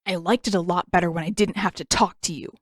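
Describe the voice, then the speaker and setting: A woman's voice: on the low side, steady tone, fast, no echo, studio quality, lightly acted. A woman voicing a proud, short-tempered teenage prince with a snappish, flustered manner in an audio story. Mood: flustered, petulant. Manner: snappish, spluttering.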